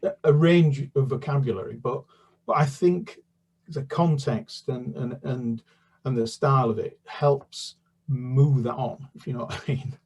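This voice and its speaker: Husky British man reading a children's book. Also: medium pitch, muffled recording. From United Kingdom. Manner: halting, many restarts and fillers, then steady narration. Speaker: children's author. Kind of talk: book talk and read-aloud.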